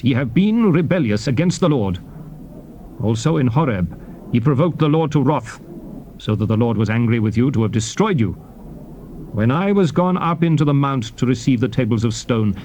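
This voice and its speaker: An actor playing a solemn prophet exhorting his people, grave and declamatory with measured phrasing, in a dramatized scripture recitation. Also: fairly high pitch, a little mellow, lightly acted. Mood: solemn recounting. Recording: audible hiss on old cassette recording.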